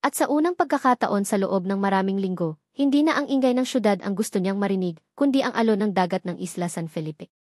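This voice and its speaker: A woman narrating a scripted drama story in a slow, measured storytelling manner. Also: medium pitch, expressive, a little mellow, dry recording, studio quality.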